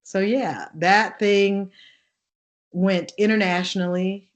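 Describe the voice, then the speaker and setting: A woman with a deep contralto voice, telling stories as an interview guest in an animated way, laughing and acting out the voices of people she quotes. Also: slow pace. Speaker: actress and singer guest. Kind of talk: lively interview storytelling.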